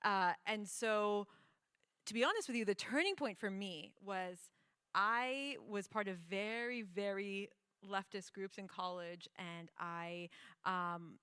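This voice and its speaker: Bright-voiced young woman, candid. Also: mid-range voice, takes it slowly, clean tone, no echo, studio quality. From United States. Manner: earnest, laughs often, many fillers and restarts. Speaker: guest storyteller. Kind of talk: candid conversational storytelling.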